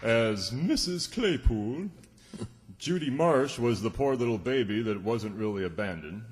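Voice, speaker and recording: Male stage announcer, scripted and genial, vintage radio style, reverberant hall with clear background noise. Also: mid-range voice, expressive, unhurried. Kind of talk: old-time radio announcing to a live audience.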